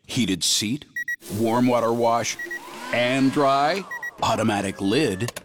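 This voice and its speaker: A man's voice: medium pitch, slow pace, noisy background, natural voice. A man playing a smug homeowner showing off in comic radio ad dialogue. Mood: proud boasting.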